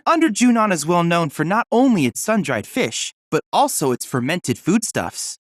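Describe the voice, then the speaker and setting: This is a young android boy researcher in a video game, courteous, eager and bookish. The diction is formal and precise, with a lively, varied melody. The recording is dry and studio-clean, with no room sound. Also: high-pitched, dry recording. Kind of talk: scripted video game character dialogue.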